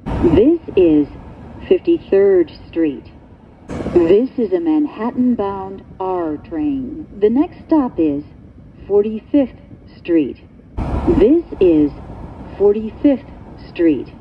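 A woman giving pre-recorded subway announcements in a measured, carefully enunciated, pieced-together delivery. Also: animated. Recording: muffled train speaker, vehicle noise. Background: subway car rumble.

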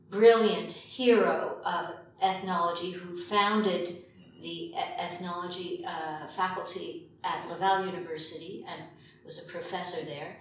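An older woman from Quebec reflecting in an interview as a filmmaker, pausing often mid-sentence. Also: lowish voice. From Quebec, Canada. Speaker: documentary filmmaker being interviewed. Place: indoor meeting room at a long table. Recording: very echoey room, distant mic, faint noise.

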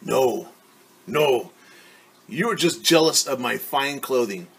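A man's voice: mid-range voice, lively intonation, takes it slowly, gravelly, a bit bright, breathy edge, full character voice. A man voicing a vain, whiny young girl in a storybook, pleading and protesting insistently. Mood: haughty.

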